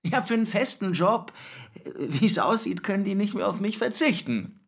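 A man's voice: high voice, very animated, normal speed, a bit bright, breathy edge, full character voice. An actor playing a defiant, boastful young man, a restless dropout, talking colloquially and insistently in a radio drama. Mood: eager, boastful. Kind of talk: German radio play acting, monologue and dialogue.

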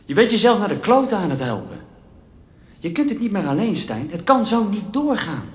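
A man's voice: sits high, sing-song, moderate pace. A man playing an urgent, worried friend pleading in a radio drama. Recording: echoey room sound.

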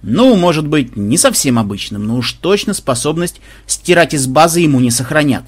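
A man voicing a gruff, weary male team leader with a curt, grumbling, commanding manner. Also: on the high side, lively intonation, normal speed. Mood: resigned grumbling.

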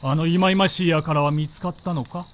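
An actor playing an imperious, amused feudal Japanese warlord in a period radio drama, with commanding, archaic, lordly speech. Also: male voice, fairly high pitch, fairly even intonation, moderate pace, natural voice. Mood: stern, commanding.

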